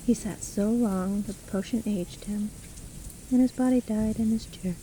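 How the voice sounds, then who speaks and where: A woman playing a sly, teasing witch storyteller in a fantasy audio roleplay, speaking in a soft, breathy, theatrical and condescending way. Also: medium pitch, moderate pace, slight background noise, lightly acted, poor audio. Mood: ominous, hushed. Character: sly, gloating witch storyteller toying with her trapped victim.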